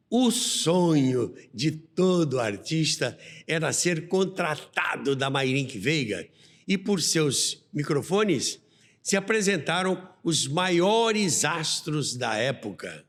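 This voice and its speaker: Brazilian man narrating, slow and very animated. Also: fairly high pitch. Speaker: radio history presenter. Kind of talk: narrated documentary storytelling. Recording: long reverb on the voice.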